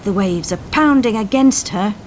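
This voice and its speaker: A woman voicing a commanding lifeboat coxswain, shouting urgent orders to his crew in a dramatic story. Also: high voice, expressive, normal speed, a little hiss or hum, voice pushed up. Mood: alarmed, urgent. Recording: storm sound effects behind voice.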